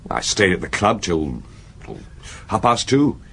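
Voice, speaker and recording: A young, lovelorn detective speaks with deferential, hesitant earnestness in a radio drama. His husky voice swings very widely in pitch as he defends himself. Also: very animated, measured pace, fairly bright tone, exaggerated voice. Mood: hesitant, tense.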